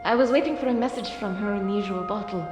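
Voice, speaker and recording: A wistful girl from France played by a woman recounts her past in a measured, literary manner. Her mid-range voice carries an animated game's storytelling, with faint music behind it. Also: medium pitch, natural voice. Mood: reflective. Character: wistful French girl telling of her lost friend. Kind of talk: dramatic storytelling in an animated game cutscene.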